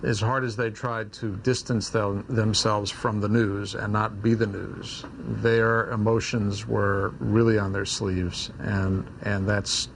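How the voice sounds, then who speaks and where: A man's voice: on the low side, level tone, slow, creaky, hardly any pauses, noisy background. A man reflecting on how broadcasters handled the news, stumbling over his words. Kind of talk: recalling in an interview.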